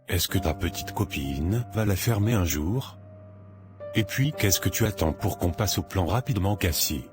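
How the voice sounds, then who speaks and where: An actor playing a nervous, ruthless young male gang member in an animated drama, talking insistently. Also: deep voice, moderate pace. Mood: anxious. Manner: insistent, complaining. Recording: music under the voice.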